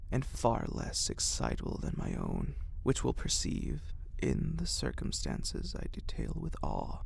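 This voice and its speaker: A narrator reading a horror story in a breathy, gravelly ASMR whisper, with a deliberate, dramatic literary delivery. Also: mid-range voice, no room sound.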